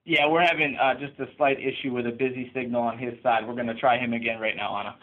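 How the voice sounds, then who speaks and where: Pr guy moderating a call, talks really fast, phone audio. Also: mid-range voice, steady tone. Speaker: public relations moderator. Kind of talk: corporate press call remarks. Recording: narrow phone conference line.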